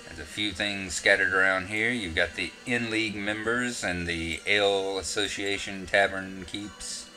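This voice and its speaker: A man's voice: deep voice, fairly even intonation, a little slow, few pauses, pushed and bright, faint music behind, poor audio. A man with a Southern US accent giving casual, rambling gameplay commentary with self-mocking asides. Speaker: game walkthrough narrator.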